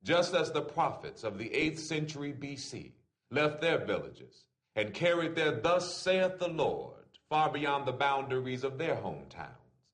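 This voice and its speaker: A man reading a written letter aloud in an oratorical style, with deliberate, formal phrasing. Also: mid-range voice. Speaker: reader.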